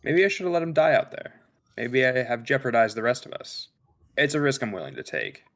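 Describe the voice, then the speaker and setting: Guy reading as a calm clinical spaceship science officer, detached and a bit defensive, sci-fi table read. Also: medium pitch, a little fast. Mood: defensive, measured.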